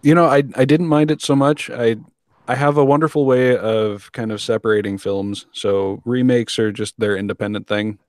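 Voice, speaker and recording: A man's voice: mid-range voice, fairly even intonation, normal speed, a little mellow, dry recording, studio quality. A man hosting a casual podcast discussion among several guests. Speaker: podcast host. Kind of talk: casual podcast panel talk.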